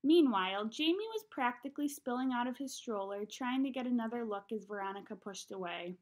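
A woman's voice: on the high side, lively intonation, a little fast, darkish tone. A young adult woman giving a storybook read-aloud, recorded in a room at home on a webcam at arm's length. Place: small home room, indoors.

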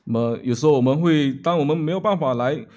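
A man's voice: on the high side, fast, darkish tone. Hesitant man interpreting a sermon. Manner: hesitant, many filler sounds and restarts. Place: church sanctuary, seated at the front table. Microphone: table microphone.

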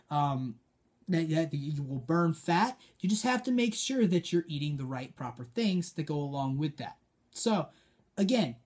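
A young American man talks like a personal trainer on a casual vlog. His voice is mellow and husky, with a direct, emphatic way of instructing. He is seated indoors, a short way from the camera. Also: sits high. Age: young adult. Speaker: personal trainer giving advice. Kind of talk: informal vlog coaching. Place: small dim room indoors, seated at a desk.

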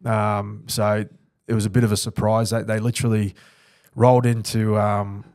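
Australian man, thirties, ex-player, low and dry, earnest studio interview guest. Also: little pitch movement, moderate pace, a little mellow, no echo. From New Zealand. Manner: earnest, reflective, many restarts. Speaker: former rugby league player. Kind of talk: podcast interview. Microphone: studio mic on boom arm.

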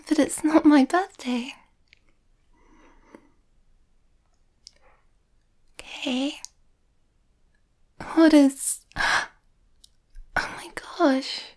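Shy young werewolf girlfriend played by a woman for ASMR roleplay: high, mellow, hesitant and often half-whispered. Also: steady tone, extremely slow, pauses often. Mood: happy, bashful.

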